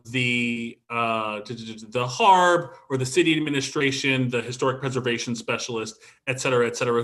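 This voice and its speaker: A man chairs a council session over a video call. His voice is bright and forward, with a middle pitch. He speaks hesitantly, filling pauses with ums and repeating himself. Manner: hesitant, with frequent ums and restarts. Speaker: council president chairing a meeting. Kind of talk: procedural meeting chairing.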